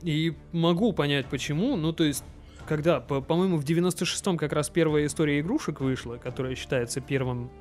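Young male podcast co-host, talkative and loose, slightly high voice, faint music under. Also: a little mellow. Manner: chatty, quick restarts, frequent fillers. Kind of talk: casual chat.

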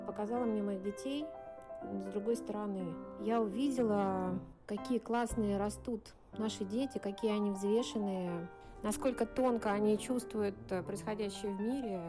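A middle-aged woman, a mother, giving a measured, thoughtful statement. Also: medium pitch. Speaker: guest parent. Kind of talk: prepared statement.

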